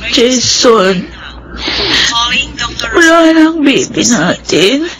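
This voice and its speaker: High-voiced, tender Filipino wife. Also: female voice, sing-song, very slow, full character voice, low-quality recording. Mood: grieving. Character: grieving, tender married woman who is expecting a baby. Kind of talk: radio drama acting. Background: music.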